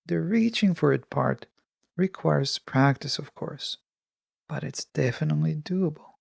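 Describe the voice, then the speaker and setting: A woman hosting a solo self-help podcast, speaking in a calm, measured and instructive way with pauses. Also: low-pitched, gravelly, slightly rough, dry recording, clean studio sound. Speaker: podcast host.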